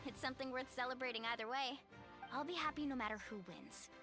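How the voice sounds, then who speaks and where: A woman's voice: high-pitched, fast talker, music in the background. A woman voicing a confident, kind and resolute young warrior girl in an anime-style video game. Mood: encouraging.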